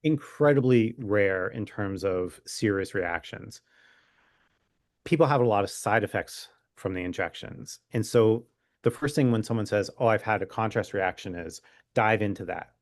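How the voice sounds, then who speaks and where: Low-voiced man explaining things, dry close recording. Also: moderate pace, dry recording. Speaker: physician guest expert. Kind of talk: conversational expert explanation in an interview.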